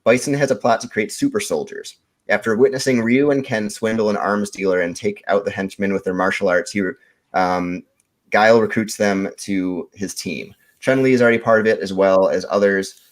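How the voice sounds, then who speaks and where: A man giving a casual, rambling movie pitch as a podcast contestant. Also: steady tone, normal speed.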